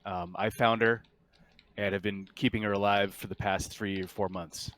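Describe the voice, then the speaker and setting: A man voicing a polite, earnest android crewman in a tabletop sci-fi game, speaking in a measured, halting, matter-of-fact way. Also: fairly low pitch, fairly even intonation, fairly bright tone, natural voice. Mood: explaining.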